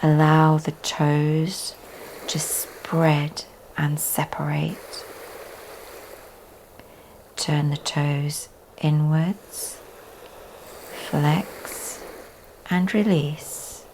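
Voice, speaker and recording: Very slow, low-voiced woman guiding relaxation. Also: little pitch movement, low-quality recording. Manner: soft, often breathy delivery with long pauses. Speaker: yoga teacher guiding a meditation. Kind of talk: slow guided relaxation meditation. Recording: faint background noise.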